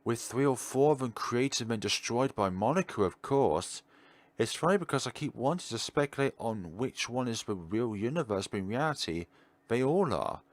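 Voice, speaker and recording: A man giving casual playthrough commentary as a gaming video host, thinking aloud with questions and trailing pauses. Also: mid-range voice, animated, no room sound. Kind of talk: casual playthrough commentary, partly reading on-screen text aloud.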